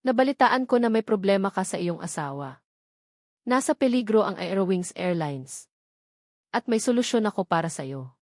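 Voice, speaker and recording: A woman's voice: medium pitch, lively intonation, darkish tone, dry recording, studio quality, natural voice. A woman playing a smug, scheming male politician who taunts with false sweetness in an audio drama. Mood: falsely sweet. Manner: slow, honeyed taunting.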